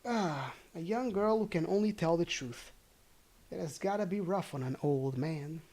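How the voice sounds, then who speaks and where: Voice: mid-range voice, normal speed, a little mellow. Playful storyteller reading fairy tales aloud. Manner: playful, self-correcting. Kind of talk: informal fairy-tale narration with off-the-cuff asides.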